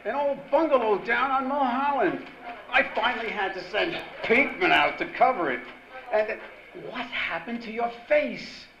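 An actor playing a gruff, exasperated newspaper boss in a 1940s radio drama. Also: male voice, extremely high pitch, expressive, moderate pace, crowd noise, exaggerated voice. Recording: roomy, dull, noisy live stage recording.

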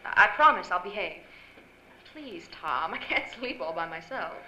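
An actress playing a seductive 1940s radio drama femme fatale who pleads coyly as if helpless. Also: female voice, fairly low pitch, sing-song, normal speed, pushed and bright, heavily acted. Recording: roomy live stage, faint noise.

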